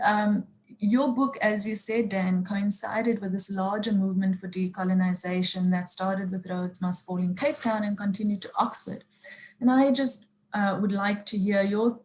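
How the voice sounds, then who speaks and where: A woman's voice: mid-range voice, darkish tone. A woman from South Africa with a South African accent, a museum curator giving prepared remarks and questions on a panel, hesitating often with fillers and restarts. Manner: halting, many fillers and restarts. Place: online panel event.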